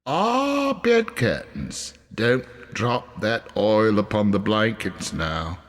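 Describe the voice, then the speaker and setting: A man's voice: fairly low pitch, very animated, slow pace, pitched above the performer's own voice. A man voicing a brazen, gloating charwoman in a storybook reading, with long reverb on the recording.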